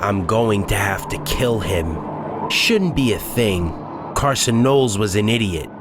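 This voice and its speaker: A man's voice: fairly low pitch, expressive, a little slow. A man playing a bitter, grandiose cop turned masked villain in a comic dub, scornful and menacing. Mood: cold, dismissive. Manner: scornful, menacing, self-aggrandizing. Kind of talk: dramatic comic dub acting. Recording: music behind the voice.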